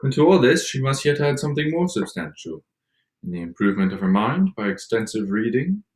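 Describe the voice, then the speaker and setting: A man playing a proud, reserved young gentleman in a period drama reading, formal, measured and aloof. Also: mid-range voice, sing-song, natural voice. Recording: echoey room.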